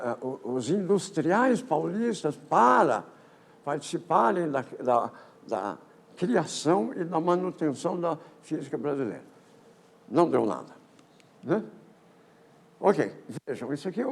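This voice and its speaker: An older man presents a history talk at a physics colloquium. His voice is gravelly and a little husky, sitting somewhat high for a man, and it swings up and down in a lively way. He stumbles over words and repeats himself as he moves through his slides. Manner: halting, with many repeated words and false starts. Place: lecture auditorium.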